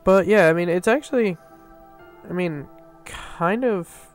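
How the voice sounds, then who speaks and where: A man's voice: high voice, a little slow. A man with a stuffy, nasal voice giving casual, rambling commentary over gameplay, full of fillers and restarts. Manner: rambling, filler-heavy, self-correcting. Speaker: map reviewer. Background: faint background music.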